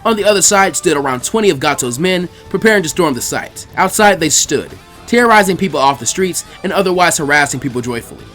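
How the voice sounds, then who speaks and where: A man's voice: fairly high pitch, animated, fast talker, few pauses, fairly bright tone, soft background music. A man narrating fan fiction as a scripted story reading.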